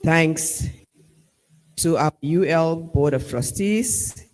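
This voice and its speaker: A man with a Liberian accent delivers a formal vote of thanks in an emphatic, rallying manner. His voice sits high and he takes his time over each phrase. The sound rings with the long reverb of a large hall. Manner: measured, emphatic, rallying the audience. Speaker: ceremony speaker giving vote of thanks. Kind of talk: formal ceremonial speech. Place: large hall at a university ceremony.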